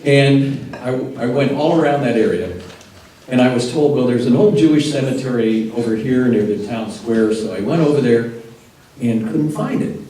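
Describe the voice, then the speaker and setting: A man's voice: medium pitch, moderate pace, warm tone. A man from Texas speaking from the floor of a lecture, telling a personal story in an earnest way before he puts his question. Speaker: audience member asking a question. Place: lecture hall. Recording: echoey hall, distant mic, faint noise.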